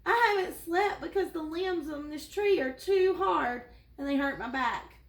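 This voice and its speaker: A woman's voice: high-pitched, measured pace, pitched above the performer's own voice. A woman voicing a tired, harmless storybook leopard, pleading and complaining. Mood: weary pleading. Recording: echoey room.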